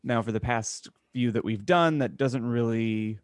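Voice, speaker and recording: A man's voice: mid-range voice, measured pace, smooth, no echo. A man co-hosting a podcast, explaining the show in casual chat with dry, grumbling humour. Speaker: podcast co-host.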